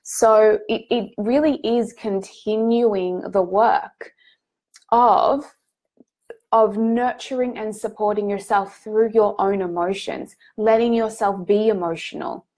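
A woman with a head cold, sounding a bit nasal, hosting a live group coaching call in a gentle, reassuring way with frequent ums and restarts. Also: mid-range voice, steady tone, slow.

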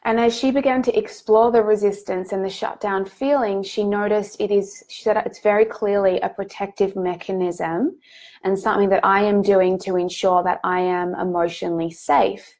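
A woman's voice: mid-range voice, fairly even intonation, moderate pace. Congested woman hosting a coaching call. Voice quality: nasal. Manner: warm, gently reassuring, frequent ums and restarts. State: congested from a head cold. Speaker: group coaching host.

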